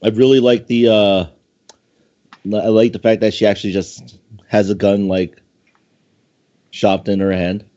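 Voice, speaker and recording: Male co-host, fairly deep voice, casual commentary, slightly muffled lo-fi chat audio. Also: flat delivery, a little slow, long pauses, mellow. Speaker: co-host and contest judge.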